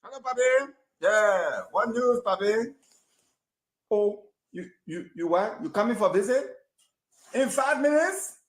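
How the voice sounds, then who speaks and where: A man's voice: sits high, lively intonation, measured pace. A man playing a grown son chatting on the phone with his parents, repeating his words. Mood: eager. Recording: roomy echo from a played clip.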